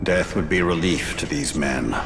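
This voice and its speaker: An actor playing a grave, coldly fatalistic wraith lord in an epic fantasy game, solemn and foreboding. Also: male voice, extremely low pitch, normal speed, gravelly, background noise. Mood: grim. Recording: loud music under the voice. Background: loud ominous game music.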